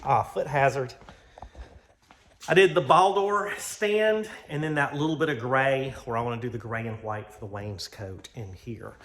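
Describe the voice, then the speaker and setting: A man's voice: medium pitch, normal speed, a bit bright. Casual American man with noisy background. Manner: folksy, informal, thinking aloud. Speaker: vlog host. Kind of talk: casual rambling garage vlog. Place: home garage workshop.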